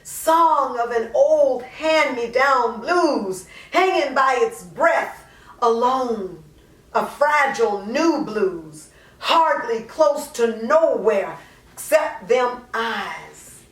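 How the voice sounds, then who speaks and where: A woman performing a dramatic monologue as an anguished, guilt-ridden mother pleading to God, impassioned and drawn-out. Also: on the high side, lively intonation, fairly bright tone, heavily acted. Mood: mournful, bluesy. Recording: roomy echo, distant microphone.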